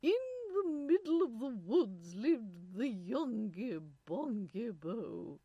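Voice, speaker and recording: A prim English nurse, reciting nursery verse in a storybook. Her high voice carries an English accent, and she stretches each line slowly with theatrical grandeur. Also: big pitch swings, slightly rough, no room sound, lo-fi sound, full character voice. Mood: grand, singsong. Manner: slow, drawn-out, theatrical recitation.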